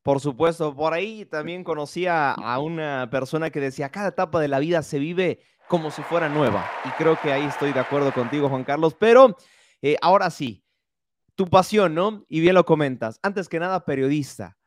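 A young man hosts a casual podcast interview in a slightly high voice, chatty and friendly, often pausing on hesitation sounds. The sound is close and dry. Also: no room sound. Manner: warm, chatty, frequent hesitations. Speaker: podcast host.

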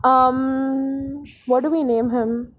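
Young woman, Indian accent, slightly high pitch, casual co-host, dry teasing banter. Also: level tone, extremely slow. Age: young adult, college student. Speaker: podcast co-host.

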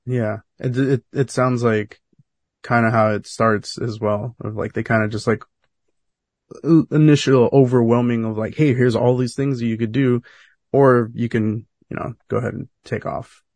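A man's voice: medium pitch, steady tone, normal speed, darkish tone, dry recording. Casual male interviewer, dry close sound. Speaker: podcast host, interviewer.

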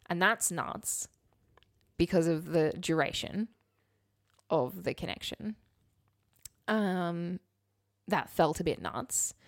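A woman's voice: lowish voice, darkish tone, no room sound, clean studio sound. A woman co-hosting a candid, casual chat in a frank, conversational way. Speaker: podcast co-host.

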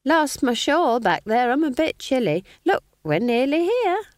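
A woman voicing a sly, cheerful elderly witch who answers with feigned innocence and a drawn-out, teasing delivery. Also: high-pitched, big pitch swings, no echo, studio quality, voice pushed up.

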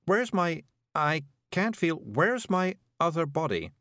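A man voicing a frail, gentle woman witch who murmurs weakly and dreamily, in an audiobook. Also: on the high side, very animated, takes it slowly, dry recording, pitched above the performer's own voice, full character voice. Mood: dreamy, bewildered. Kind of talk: audiobook character voice.

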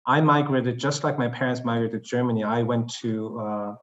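A man who is a guest on a podcast, talking in a measured, thoughtful way with hesitant fillers. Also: mid-range voice, little pitch movement, a bit bright. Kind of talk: conversational podcast interview. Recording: dull lo-fi sound.

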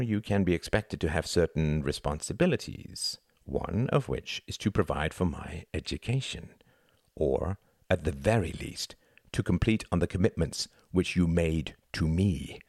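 A man's voice: big pitch swings, a little slow, vocal fry, darkish tone, dry recording, studio quality, exaggerated voice. A man reading as an arrogant, sneering upper-class young man, indignant and haughty. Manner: haughty, indignant, rising shrill.

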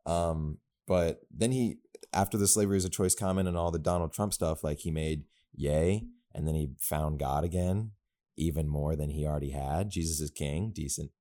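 A man's voice: extremely low pitch, normal speed. A young man co-hosting a podcast, chatting casually in a rambling, joking way. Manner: rambling, jokey, animated asides. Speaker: podcast co-host.

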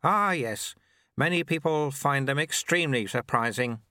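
A man voicing a cheerful, amiable little old monk sweeper in an audiobook, wryly modest, with a breezy, gently teasing manner. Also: on the high side, moderate pace, no echo, clean studio sound, pitched above the performer's own voice. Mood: amiable, amused.

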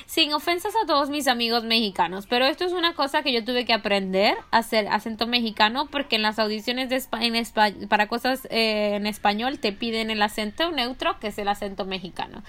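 Animated Venezuelan woman with a bright voice. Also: on the high side, a little fast, hardly any pauses. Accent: Venezuelan, Maracaibo. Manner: animated, joking, rambling. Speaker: podcast co-host and voice actress. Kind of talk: casual podcast chat.

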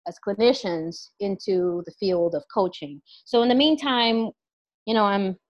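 A woman's voice: medium pitch, measured pace, highs cut off. A woman who is a clinical psychologist and life coach, speaking as an interview guest in a thoughtful, casual way, full of fillers and restarts. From New York, USA.

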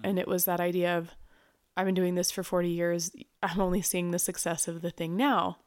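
A woman co-hosting a podcast in casual chat, sounding nasal and stuffy from a cold as she explains things in a steady, reflective way. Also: lowish voice, a little fast. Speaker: podcast co-host.

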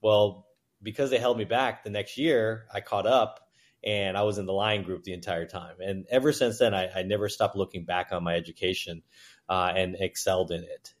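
A man in his forties, born in China and raised in Texas, whose first language was Chinese, telling his life story as a guest in a casual interview, halting and full of fillers. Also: deep voice. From born in China, raised in Houston, Texas. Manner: relaxed, halting, with many fillers and restarts. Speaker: guest, private equity managing partner.

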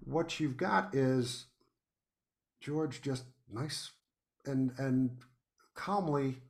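An American man chatting as an interview guest, thoughtful and halting, often repeating words as he goes. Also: mid-range voice, creaky. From United States. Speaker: guest playwright and podcast host. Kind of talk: casual interview chat.

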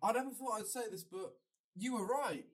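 A man's voice: sits high, normal speed. A young man acting a cautious, reluctant, hesitant friend in a radio drama. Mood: shaken.

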